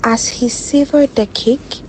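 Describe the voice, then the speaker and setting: A woman storyteller reading a scripted folktale in a dramatic, measured way. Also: fairly high pitch, fairly even intonation, a little hiss or hum, poor audio.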